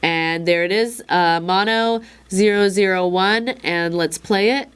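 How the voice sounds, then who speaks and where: A slightly hoarse woman giving a casual, chatty product demonstration, with frequent fillers and wry asides. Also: medium pitch, unhurried. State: a little hoarse. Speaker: instructor demonstrating a recorder.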